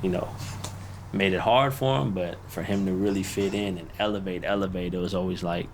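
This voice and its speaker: A man from the Bay Area, a friend of the rapper, speaking in an interview, thoughtful and halting, repeating words as he goes. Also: sits low, fairly even intonation, a little hiss or hum.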